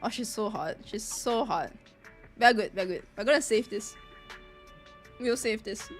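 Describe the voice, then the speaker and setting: A woman chatting casually on a live game stream, reacting excitably and repeating her phrases. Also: mid-range voice, unhurried, faint music behind.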